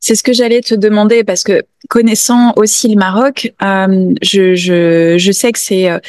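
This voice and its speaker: A woman's voice: mid-range voice, fairly even intonation, normal speed, a little mellow, dry recording, studio quality. A woman hosting a casual, reflective podcast interview, meandering as she searches for her words.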